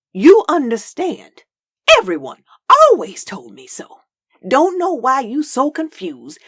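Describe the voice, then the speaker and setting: A woman plays a feisty, loving old grandmother in a comic dub. She talks slowly in a folksy drawl, her high voice swinging widely up and down, recorded close and dry. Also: dry recording, full character voice. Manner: folksy Southern-style dialect, drawn out.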